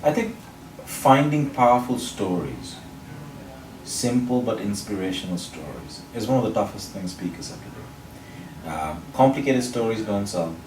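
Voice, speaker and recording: Sri lankan guy explaining public speaking, pretty low voice, lists stuff out, echoey room w/ faint hum. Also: lively intonation, darkish tone. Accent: neutral international English, faint Sri Lankan. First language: Sinhala or another Sri Lankan language. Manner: measured, explanatory, builds points in lists. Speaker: public speaking champion, interview guest. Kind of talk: interview answers. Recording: roomy echo, mic at a distance, faint noise.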